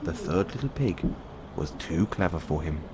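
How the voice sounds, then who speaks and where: Guy with a low voice reading bedtime fairy tales, really animated, noticeable noise behind him. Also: normal speed. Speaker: audio roleplay performer. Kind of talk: bedtime storytelling.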